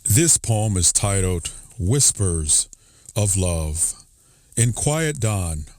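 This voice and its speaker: Deep, slow baritone man reciting poetry. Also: a little mellow, dry recording, clean studio sound. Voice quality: low baritone. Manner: measured, soothing recitation. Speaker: voiceover poetry reader. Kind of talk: slow dramatic poetry reading.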